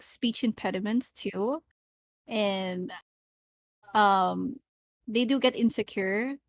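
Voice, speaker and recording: A woman's voice: medium pitch, dry recording. A young woman from the Philippines with a light Filipino accent, co-hosting a casual podcast and talking chattily with many fillers. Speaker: podcast co-host. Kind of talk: casual chat.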